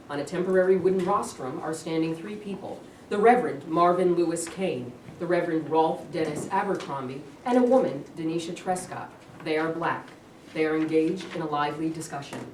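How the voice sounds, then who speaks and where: A woman reads stage directions in a scripted manner. Her voice is a little low, and the recording is distant, echoey and faintly noisy. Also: fairly quick, darkish tone. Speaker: narrator reading stage directions. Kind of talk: scripted narration.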